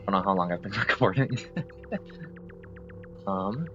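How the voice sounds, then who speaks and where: Low-voiced man rambling over gameplay. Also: normal speed, a bit bright, highs cut off, soft background music. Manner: rambling, apologetic, hesitant with many fillers. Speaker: gameplay video host.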